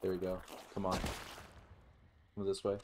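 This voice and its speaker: A man giving casual, halting gameplay commentary as host, with some offhand swearing. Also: low-pitched, monotone, background noise. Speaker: gaming video host.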